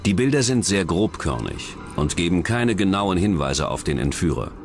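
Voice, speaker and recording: A male documentary narrator with a fairly low voice. His scripted true-crime delivery moves in lively, varied pitch, and soft music plays faintly underneath. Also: moderate pace.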